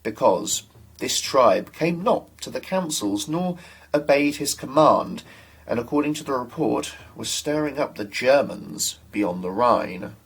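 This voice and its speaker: A young man giving a dramatic reading of a historical text, formally and deliberately, phrase by phrase. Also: mid-range voice, expressive, vocal fry, husky. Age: young adult. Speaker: student reader.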